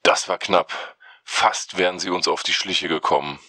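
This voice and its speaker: Casting: man as scheming villain chief, forceful, mid-pitched, dry close sound, audio-drama parody. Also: fairly even intonation, moderate pace, no echo. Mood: irritated. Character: menacing secret conspiracy mastermind.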